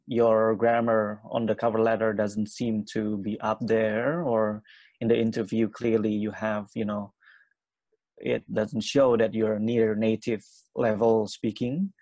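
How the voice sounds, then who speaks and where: A young man from Indonesia, an English teacher, answering interview questions quickly with many fillers and restarts. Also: fairly low pitch, vocal fry, a little mellow, no room sound. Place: small room at home.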